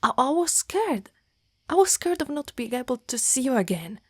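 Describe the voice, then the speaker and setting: A woman voicing a devoted, lovestruck female dragon knight in human form, in an intimate, half-whispered ASMR roleplay with formal, halting phrasing. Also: high-pitched, very animated, husky, no echo, studio quality, pitched above the performer's own voice, heavily acted. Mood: anxious, tender. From Italy. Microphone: close microphone.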